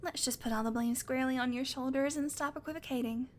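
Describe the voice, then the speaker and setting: A woman playing a sardonic, teasing, predatory vampire with a soft spot, in an intimate, sweary audio roleplay monologue that often drops to a murmur. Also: high voice, fairly even intonation, fast, a little hiss or hum, lightly acted. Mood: teasing, exasperated.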